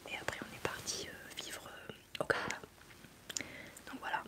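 A young woman, whispering casually into a close desk mic during an ASMR livestream, rambling and answering chat. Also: normal speed. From France. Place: small bedroom studio indoors. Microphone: large desk mic up close.

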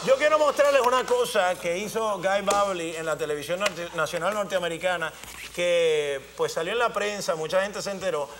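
A man's voice: high-pitched, moderate pace. A man in his forties hosting a television talk show, joking and exclamatory, presenting and bantering in a studio. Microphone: lapel mic. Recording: studio audience noise, background hiss.

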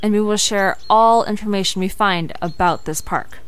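A woman's voice: mid-range voice, normal speed, clear, resonant tone, close to an everyday voice. A woman playing a curious, determined young investigator in an audio drama, earnest and conversational. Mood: firm.

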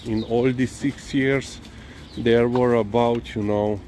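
A man's voice: mid-range voice, steady tone, a little mellow. A man with a Slovenian accent rambles casually and hesitantly in a walk-and-talk vlog, often filling in with "you know". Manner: hesitant, halting delivery with frequent "you know". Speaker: dog owner and vlogger. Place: outdoors, on a walk. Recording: clearly noisy outdoor ambience, vehicle sounds.

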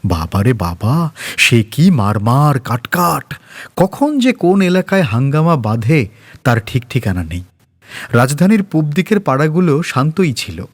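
A man narrating a scripted story with expressive, dramatic phrasing. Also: mid-range voice. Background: faint background music.

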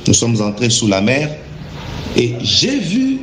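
A man telling a religious testimony in deliberate, emphatic, halting phrases. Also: medium pitch, wildly swooping pitch. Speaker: guest giving a religious testimony. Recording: echoey room with faint background noise.

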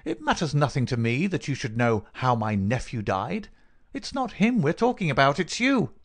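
A man's voice: medium pitch, sing-song, moderate pace, voice pushed up. A man voicing a cruel, mocking villain in a storybook reading, gloating and taunting with pointed questions. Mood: gloating menace. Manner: taunting, insistent, rhetorical questioning.

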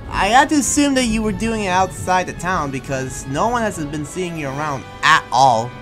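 Lively man in casual gaming roleplay. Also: sits high, normal speed, music in the background, poor audio. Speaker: YouTube gaming host and roleplayer.

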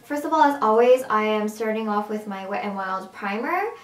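A young woman with a raspy, strained voice chatting casually through a makeup tutorial at home. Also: mid-range voice, expressive, moderate pace, roomy sound, soft background music. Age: young adult. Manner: chatty, upbeat, casual with fillers. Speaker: beauty vlogger. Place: small room at home, indoors.

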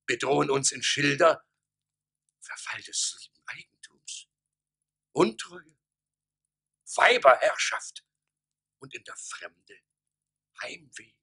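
Pompous small-town mayor, wildly swooping delivery. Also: male voice, mid-range voice, big pitch swings, slow, gravelly, long pauses, pushed and bright, slightly rough, full character voice. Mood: grave, worried. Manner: declamatory, fussy, punctuated with exclamations. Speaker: radio play actor. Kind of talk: theatrical German radio play acting. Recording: studio radio play, faint music behind.

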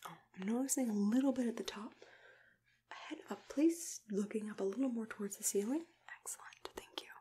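Young woman, breathy whisper, ASMR dentist roleplay, gentle, reassuring, murmured commentary, noisy background with tool clicks. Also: on the high side, a little fast. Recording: clear background noise with clicking tool sounds. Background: noise, clicks of dental tools.

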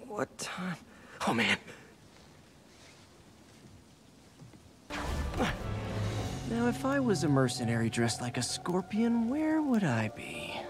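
An actor playing a young, anxious, wisecracking American superhero in a video game cutscene. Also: male voice, high-pitched, huge pitch swings, moderate pace. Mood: wry. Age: young adult. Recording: music behind the voice.

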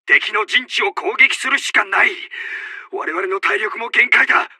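An actor playing a commanding, urgent Japanese army officer who barks out short battle announcements in a military video game. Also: male voice, high voice, lively intonation, a little slow, bright, forceful tone, breathy edge, no echo, studio quality, heavily acted. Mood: urgent, desperate.

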